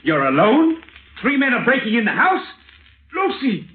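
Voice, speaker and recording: An actor playing a grief-stricken, vengeful husband with intense, deliberate delivery in old radio melodrama style. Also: male voice, very high voice, wildly swooping pitch, exaggerated voice. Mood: alarmed, frantic. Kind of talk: 1930s-40s radio acting, melodrama. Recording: noisy, scratchy, tinny old-time radio recording.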